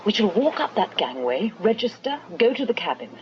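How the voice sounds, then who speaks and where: A hard-headed, drily mocking wife played by an actress in a radio comedy drama. Her brisk, cutting delivery swings expressively at a medium pitch. The recording is dull with faint noise behind it. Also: sing-song, natural voice. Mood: bossy, impatient. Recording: muffled radio broadcast copy, faint noise.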